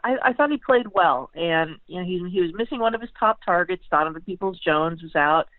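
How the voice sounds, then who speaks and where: A woman's voice: lowish voice, animated, fairly quick, no room sound. A woman sports beat writer giving conversational football analysis as an interview guest, often repeating words as she gathers her thought. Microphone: phone call. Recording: tinny phone line.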